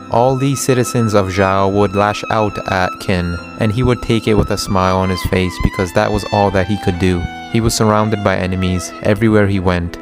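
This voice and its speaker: A man reading a scripted story recap as narrator, with guitar music behind him. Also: lowish voice.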